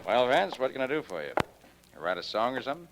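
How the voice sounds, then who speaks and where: An actor playing a brusque, wry music publisher with slangy grumbling talk in a 1940s radio detective drama. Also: male voice, mid-range voice, very animated, fast talker, background noise. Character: gruff, wisecracking music publisher, grumbling about sales.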